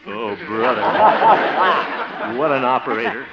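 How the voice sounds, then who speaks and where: A man's voice: mid-range voice, a little slow, a loud crowd, very noisy, lightly acted. An actor playing an exasperated, pompous but good-hearted man in a 1950s radio sitcom, blustering and muttering asides. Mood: grumbling. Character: exasperated, pompous, good-hearted grown son and self-styled mentor. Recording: dull old-time radio recording.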